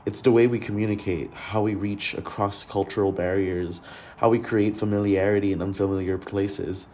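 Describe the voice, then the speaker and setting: A man's voice: lowish voice, steady tone, normal speed, a little mellow, slight background noise. A young man from Malaysia narrating a documentary in an earnest, introspective voice. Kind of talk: reflective scripted narration.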